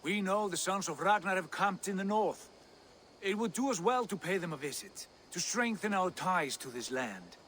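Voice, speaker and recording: An actor playing a proud, guarded Viking jarl who speaks with firm, curt authority in a dramatic game cutscene. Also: male voice, high-pitched, expressive, moderate pace, fairly bright tone, slight background noise. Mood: commanding, guarded.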